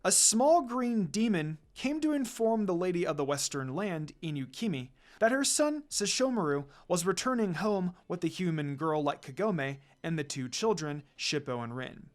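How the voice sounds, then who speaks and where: Expressive storyteller with lively, swinging pitch. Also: moderate pace, hardly any pauses. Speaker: narrator. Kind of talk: dramatic fan fiction story reading.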